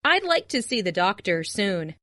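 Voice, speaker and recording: A woman reading short phrases for language learners, repeating each one as a clear model. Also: mid-range voice, sing-song, normal speed, sharp tone, no room sound. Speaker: language lesson speaker.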